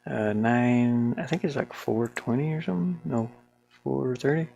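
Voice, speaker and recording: Slow creaky voice, game dev talking to themself, casual n folksy, kinda grumbly while clicking around. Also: medium pitch, mellow, no echo, dull audio. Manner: muttering to self, grumbling mildly, colloquial 'ain't' and double negatives. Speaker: solo game developer narrating their work. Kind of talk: casual unscripted game development screen recording, thinking aloud. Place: small warm room with a fan, indoors.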